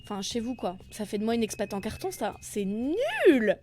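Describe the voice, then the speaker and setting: A young woman from France with a Parisian accent, hosting a comedy podcast with a self-mocking, animated delivery and crude asides. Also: on the high side, moderate pace, noisy background. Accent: Parisian French. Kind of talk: comic monologue podcast.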